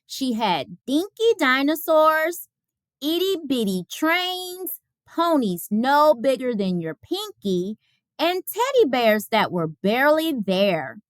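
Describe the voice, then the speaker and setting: A woman reading a children's picture book aloud as a storyteller, with expressive, careful phrasing. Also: sits high, slow pace, no echo, studio quality.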